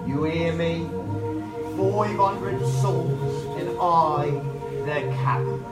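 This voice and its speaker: A slow, brooding ghostly sea captain. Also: male voice, on the high side. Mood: ominous, brooding. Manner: slow, portentous, dramatic pauses and repetition. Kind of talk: live old-time radio horror acting. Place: on stage in a theater. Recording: echoey live theater, loud music behind.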